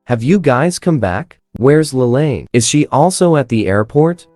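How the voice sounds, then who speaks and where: Man playing cool young hero, mellow voice. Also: medium pitch, animated, measured pace, no room sound, natural voice. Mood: guarded, questioning. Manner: cool, guarded. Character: reserved, well-mannered wealthy young male hero. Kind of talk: animated web story dialogue. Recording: music behind the voice.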